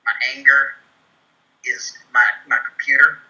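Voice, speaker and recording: A man putting on a sharp-voiced, simple Southern fellow. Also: medium pitch, level tone, a little slow. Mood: earnest, deadpan. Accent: put-on Southern US. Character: simple, earnest Southern man asking computer questions. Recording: muffled, telephone-like band-limited sound.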